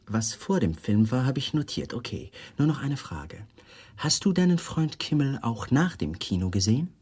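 A wily police lieutenant from a radio thriller. His voice is rough and breathy and swings widely in pitch. He questions in a patronising, falsely friendly tone with a sardonic edge. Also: sing-song, normal speed, a little mellow. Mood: probing. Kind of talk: German radio crime drama acting.

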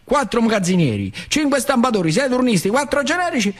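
A man's voice: very high voice, very animated, fast talker, voice pushed up, heavily acted. A man voicing eager, plain-spoken factory workers with a central Italian accent in a radio theatre piece, urgent and repetitive. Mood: excited, rallying. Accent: central Italian, northern Lazio.

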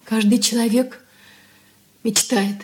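A woman's voice: a little high, fairly even intonation, slow pace, darkish tone, a little hiss or hum. A woman playing a timid, dreamy, easily wounded young woman in a radio drama, hesitant and fragile. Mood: hurt, agitated. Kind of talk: Soviet radio play acting.

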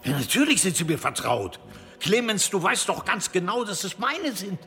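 An actor playing a proud, indignant high clergyman defending himself in a historical radio drama, emphatic and sardonic. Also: very animated, normal speed, sharp tone, exaggerated voice. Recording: long echoing hall reverb.

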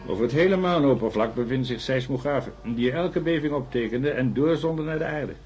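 An actor playing a sceptical male scientist with a dry, measured way of talking in a Dutch radio drama. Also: medium pitch, darkish tone, soft background music, lightly acted. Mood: keen, intrigued. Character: Walter, sceptical male scientist warming to a theory.

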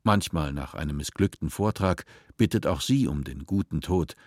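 Deep-voiced male narrator, calm. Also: no echo, clean studio sound. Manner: calm, measured storytelling. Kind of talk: scripted narration.

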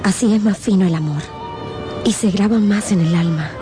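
A woman's voice: medium pitch, natural voice. An actress playing a troubled, proud young woman in love in a radio drama, speaking earnestly. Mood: tender, wistful. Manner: deliberate, earnest. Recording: faint music behind the voice.

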